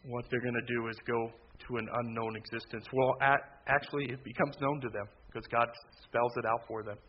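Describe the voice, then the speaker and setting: A man preaches in a conversational, loud voice that keeps to a narrow, flat line but jumps up in emphatic bursts. The recording is slightly dull, with faint noise behind him. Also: mid-range voice, a little fast. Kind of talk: sermon.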